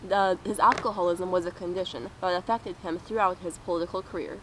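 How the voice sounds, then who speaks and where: A woman's voice: on the low side, fairly even intonation, a little fast, a bit bright, a little hiss or hum, low-quality recording. A young woman co-presenting with scripted biographical narration.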